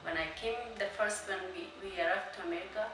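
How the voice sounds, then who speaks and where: A woman in her twenties, a college student, telling her story in an interview with many pauses and fillers. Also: medium pitch, recorded from a distance. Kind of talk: personal interview. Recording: echoey room from afar, music bed.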